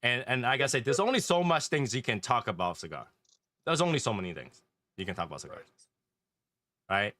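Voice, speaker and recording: Male online community host, Taiwanese accent, bright forward tone, close dry sound, casual and repetitive. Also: medium pitch, very animated, a little fast, long pauses, dry recording. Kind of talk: casual group chat.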